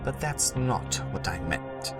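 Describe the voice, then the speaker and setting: A man's voice: mid-range voice, very animated. A man playing a grave, wise old village elder, speaking solemnly in a fantasy audio drama. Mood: solemn, explaining. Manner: solemn, measured, portentous. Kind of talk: fan audio drama acting. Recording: loud music under the voice.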